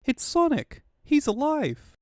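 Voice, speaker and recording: Young cartoon fox boy done by a man; high, sincere, childlike, animated intonation. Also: slow pace, no room sound, clean studio sound, heavily acted. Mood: excited, hopeful. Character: young male fox sidekick, earnest child.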